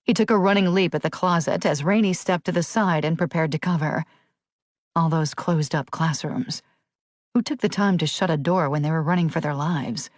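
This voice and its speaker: Woman reading a thriller audiobook, dramatic scripted narration, lowish voice, very dry close sound. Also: normal speed, dry recording.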